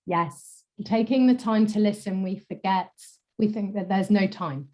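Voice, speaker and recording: A woman guest with a croaky voice, a communication coach in a conversational interview, thoughtful, using fillers, her sentence endings trailing off softly. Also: medium pitch, unhurried.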